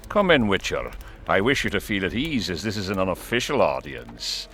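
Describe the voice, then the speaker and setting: A man's voice: sits low, big pitch swings, normal speed, fairly bright tone. An actor playing a commanding king in a video game, speaking in a formal, regal manner. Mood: formal, welcoming. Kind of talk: video game dialogue acting. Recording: music behind the voice.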